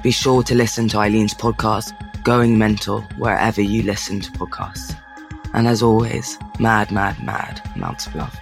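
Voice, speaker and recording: A man's voice: on the low side, moderate pace, vocal fry, soft background music. A man hosting a podcast while he has a cold, joking with his guest and then reading a scripted sign-off. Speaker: podcast host. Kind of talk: casual interview and scripted outro.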